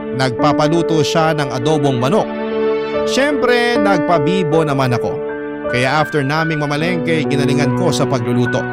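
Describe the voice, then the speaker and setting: Man doing earnest anxious first-person drama narration, highish voice, loud music under it. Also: natural voice. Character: earnest, anxious daughter recounting her story.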